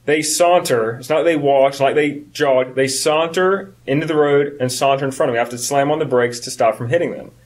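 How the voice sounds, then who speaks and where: Fast-talking man in his thirties. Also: mid-range voice. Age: early 30s. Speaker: podcast co-host. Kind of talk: casual chat.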